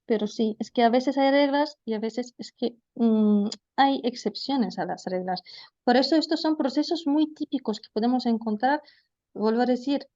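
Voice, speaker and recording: A woman's voice: fairly high pitch, mellow, lo-fi sound. A woman giving an online lecture to trainee teachers, explaining patiently with frequent fillers. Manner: explanatory, addresses students as vosotros, frequent fillers.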